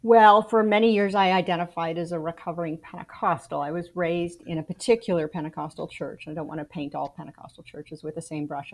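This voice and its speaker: A Canadian woman in her forties, a podcast guest, telling her life story frankly and with dry wry humor. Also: deep voice. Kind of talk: casual interview storytelling.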